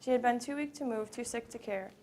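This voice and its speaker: A woman reading prose narrative aloud at a live event, in a measured, dramatic way with phrases that trail off. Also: on the high side, a little mellow. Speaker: student performer in readers theater. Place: live college library event. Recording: live event recording with background noise.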